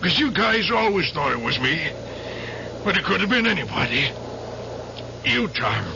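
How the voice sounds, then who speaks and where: An American actor playing a frightened, accused ex-soldier in an old-time radio drama. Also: male voice, sits high, very animated, moderate pace, vocal fry, exaggerated voice. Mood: weak pleading. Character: frightened wounded man, accused war informer, pleading and defiant. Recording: dull, very noisy old radio recording.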